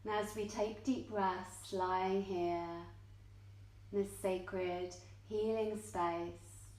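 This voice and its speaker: A woman leads a guided meditation in an echoing indoor yoga studio. She speaks very slowly and gently, in short measured phrases separated by long pauses. Her voice sits at a medium pitch for a woman. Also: fairly even intonation. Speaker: yoga nidra teacher.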